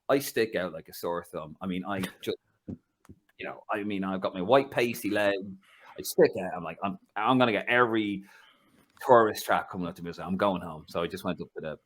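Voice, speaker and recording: A man from Ireland hosting a casual football podcast over a video call, an animated storyteller. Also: low voice. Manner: animated storyteller, acts out voices. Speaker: podcast host. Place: home in London.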